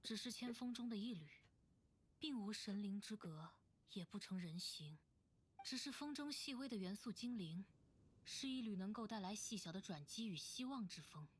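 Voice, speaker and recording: A woman playing a youthful androgynous male wind-spirit bard in a video game, telling tales in a lyrical, measured way. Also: mid-range voice, lively intonation, close to an everyday voice. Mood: wistful, gentle. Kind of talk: video game voice acting, poetic storytelling. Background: loud game music.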